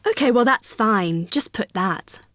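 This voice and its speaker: A friendly union receptionist. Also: female voice, mid-range voice, expressive, a little slow, fairly bright tone, no room sound. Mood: helpful. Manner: friendly, helpful, explaining patiently. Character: cheerful young female union helper. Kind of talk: scripted exam listening dialogue.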